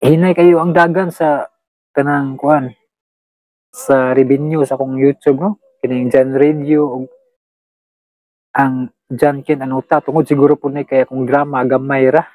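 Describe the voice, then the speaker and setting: Halting Filipino man in a dry recording. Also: mid-range voice, level tone, a little mellow, dry recording, rough recording. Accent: Filipino, Cebuano-speaking. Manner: halting, rambling, searching for words. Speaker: channel host. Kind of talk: unscripted casual chat to listeners.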